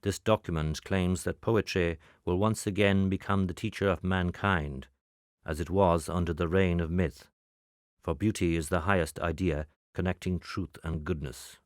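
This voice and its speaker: A man reading scripted narration in the manner of a spy dossier, with measured, formal, clipped report delivery. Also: sits low, steady tone, dry recording, clean studio sound.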